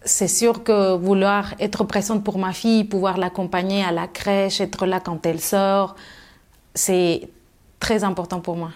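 A woman's voice: medium pitch, flat delivery, a little slow, darkish tone. A middle-aged woman from Mexico, answering interview questions thoughtfully with many fillers and restarts. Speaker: guest.

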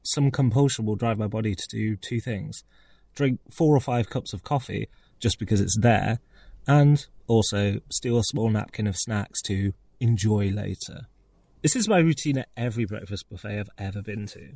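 Male essayist, raspy and fairly low, reading humorous prose aloud. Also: normal speed, mellow, no room sound. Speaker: essayist reading own piece.

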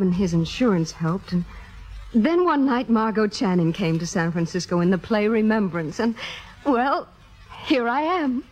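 An actress playing a humble, earnest young American theater devotee in 1950s radio drama, with a hesitant, wistful delivery. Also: female voice, mid-range voice, darkish tone, close to an everyday voice. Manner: hesitant, self-effacing, wistful. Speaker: radio actor. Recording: muffled 1950s radio recording, music behind.

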